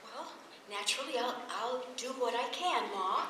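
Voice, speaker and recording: An earnest, slightly bossy grown daughter in a vintage American radio serial. Her voice is high and bright, emphatic yet hesitant. The old broadcast is heavily echoey and distant. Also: lively intonation, normal speed, slight background noise. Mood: explaining. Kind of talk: old-time radio soap opera acting.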